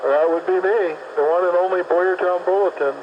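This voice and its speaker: A man's voice: high-pitched, fairly even intonation, normal speed. A man chatting over CB radio from his vehicle while delivering papers, friendly and talkative, giving his location. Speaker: CB radio operator driving a paper route. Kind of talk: casual CB radio chat. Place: moving vehicle on a country road. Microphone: CB radio handset. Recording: noisy lo-fi CB radio transmission.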